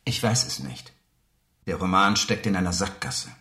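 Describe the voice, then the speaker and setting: In an echoing room, a weary male novelist. His deep voice sounds gloomy and resigned, with halting delivery in radio drama style. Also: expressive, sharp tone. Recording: echoing room, slightly distant.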